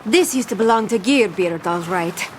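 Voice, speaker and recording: A woman's voice: medium pitch, lively intonation, normal speed, slight background noise, natural voice. A woman playing a brash, fame-hungry pirate captain who talks in theatrical old-time pirate speak in a scripted audio adventure. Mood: gleeful, dramatic. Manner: theatrical, mock-archaic pirate talk.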